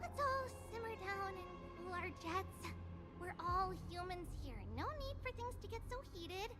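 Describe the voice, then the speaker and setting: A woman's voice: very high voice, animated, a little slow. A woman voicing a tiny, perky, bossy childlike companion in a video game, chiding and playful in her delivery. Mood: cheerful, soothing. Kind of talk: scripted video game character acting. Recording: loud game music behind the voice. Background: game music.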